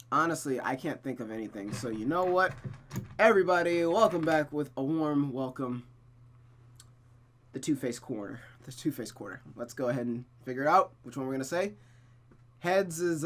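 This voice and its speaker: Male streamer, mid-pitched, casual and slangy, reading story text aloud and commenting on it. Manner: chatty, slangy, mutters asides and tuts. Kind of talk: casual playthrough with read-aloud narration and commentary.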